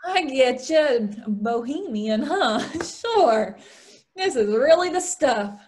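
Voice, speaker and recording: A woman playing a brash high-voiced young showgirl. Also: expressive, slow, darkish tone. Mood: sardonic. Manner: theatrical, sarcastic, mock-posh asides. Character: brash, wisecracking young vaudeville showgirl, good-natured, not too sensitive. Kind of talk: play table read.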